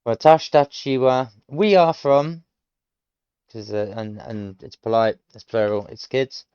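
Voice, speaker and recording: A man's voice: mid-range voice, a little slow, darkish tone, clean tone, no echo, highs cut off. A British man in his late thirties, thinking aloud as he works through a language course, explaining each answer step by step with frequent hesitations. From United Kingdom. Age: late 30s. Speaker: self-taught learner streaming. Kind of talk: thinking aloud while working through lessons.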